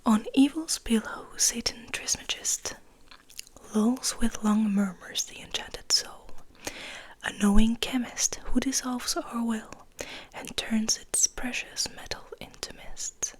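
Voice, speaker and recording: Whispering woman, slow and breathy. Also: on the high side, fairly even intonation, poor audio. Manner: slow, deliberate, measured phrasing with pauses. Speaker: ASMR host reading poetry. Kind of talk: whispered ASMR poetry reading.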